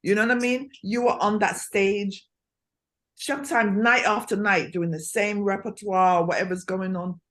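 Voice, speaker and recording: A woman's voice: medium pitch, steady tone, normal speed. A woman hosting a casual interview, talking freely and often asking "you know what I mean". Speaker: host.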